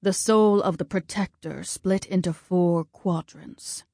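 A woman voicing a commanding, cold male war god and father, speaking in a formal, measured way. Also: lowish voice, vocal fry, warm tone, dry recording, clean studio sound, full character voice. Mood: grave, measured.

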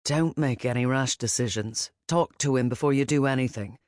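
A woman voicing a gentle, protective older man who speaks softly and calmly, with a firm edge. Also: medium pitch, normal speed, no room sound, studio quality, natural voice. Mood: gentle, fatherly. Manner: soft, calm and fatherly.

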